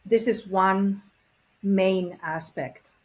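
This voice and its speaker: A woman's voice: medium pitch, steady tone, very slow. Earnest woman. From Bulgaria. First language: Bulgarian. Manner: earnest, reflective, many fillers. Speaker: guest expert on multilingual parenting. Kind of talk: conversational interview.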